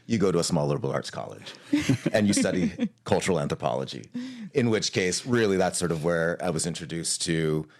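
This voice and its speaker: A man's voice: sits low, animated, a little fast. A man from Hawaii, an arts leader, talking through his career in a casual interview.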